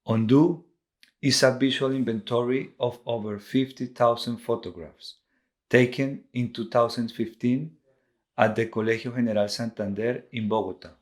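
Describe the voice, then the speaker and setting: A man with a Colombian accent, a native Spanish speaker, giving a formal, measured scripted narration as an artist presenting projects. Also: medium pitch, steady tone. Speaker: visual artist.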